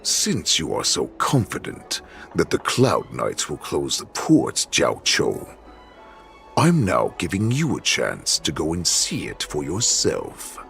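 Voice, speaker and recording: A man voicing a menacing, commanding alpha wolf warlord in a video game, gravelly, imperious and mocking. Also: extremely low pitch, huge pitch swings, takes it slowly, fairly bright tone, faint music behind, exaggerated voice. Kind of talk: dramatic video game voice acting.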